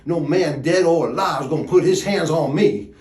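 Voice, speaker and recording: An actor playing a wry, plainspoken rural gravedigger, telling a yarn in a drawling folksy dialect with dramatic pauses. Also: male voice, medium pitch, a little mellow, close to an everyday voice. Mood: indignant. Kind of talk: theatrical storytelling monologue. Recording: echoey room.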